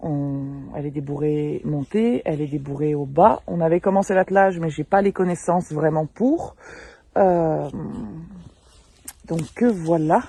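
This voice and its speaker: A woman in her thirties from the French Alps, who raises mules as an amateur, introducing herself casually outdoors in a field beside her animals and pausing often to hesitate. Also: low voice, creaky, dark tone, slightly rough. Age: 30s. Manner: hesitant, frequent filler pauses. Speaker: amateur mule breeder. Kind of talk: casual self-introduction to camera.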